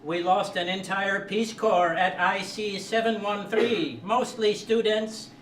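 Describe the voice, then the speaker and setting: A conciliatory alien minister played by a man pleads in a high, even voice. The staged sci-fi reading is distant and echoey. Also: slow. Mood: concerned. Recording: distant, echoey live room with faint noise.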